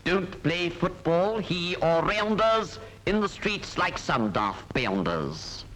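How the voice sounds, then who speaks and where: High-voiced comic rhyming road safety instructor. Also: male voice, sing-song, takes it slowly, fairly bright tone, full character voice. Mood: mock-stern. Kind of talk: 1940s public information film verse narration. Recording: noisy 1940s British film soundtrack.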